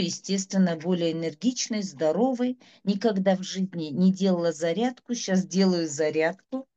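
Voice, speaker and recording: A woman's voice: mid-range voice, dark tone. A middle-aged woman, giving a chatty, rambling personal testimonial about her health. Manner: chatty, rambling, listing ailments. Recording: dull lo-fi sound.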